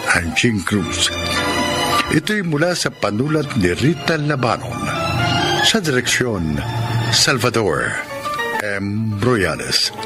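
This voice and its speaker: A man with a Filipino accent reading scripted radio drama announcements in a solemn, deliberate way. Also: medium pitch. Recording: loud music under the voice.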